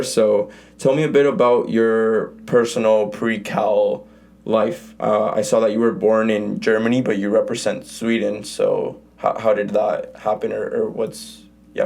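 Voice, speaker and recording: Gravelly young man hosting a podcast. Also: lowish voice, darkish tone. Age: young adult. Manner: friendly, asks loose rambling questions. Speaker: podcast host. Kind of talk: casual interview.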